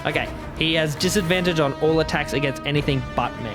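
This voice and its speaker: A man's voice: a little high, fast. A man playing a tabletop game, casually talking through rules and his moves. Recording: music behind the voice. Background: background music.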